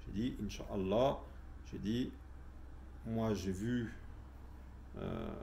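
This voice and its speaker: A man's voice: on the low side, wildly swooping pitch, very slow, creaky, dark tone, slight background noise. A middle-aged man telling his personal story in a casual, hesitant way, with many fillers and restarts. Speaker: vlogger telling his spiritual journey.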